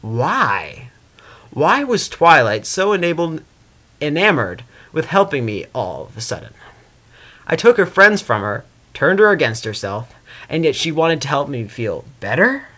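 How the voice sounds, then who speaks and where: A man plays a sardonic male chaos spirit imprisoned in stone. The voice is fairly high, thinking aloud in a dry, skeptical monologue in a storybook reading. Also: sing-song, measured pace, sharp tone, full character voice.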